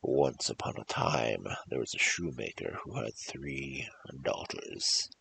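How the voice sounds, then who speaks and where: A man with a gravelly, husky voice hosting a storytelling show, reading fairy tales in a theatrical, emphatic, rhythmic way. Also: extremely low pitch, lively intonation, slow pace, a bit bright, slight background noise. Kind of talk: animated performed fairy-tale reading with casual asides.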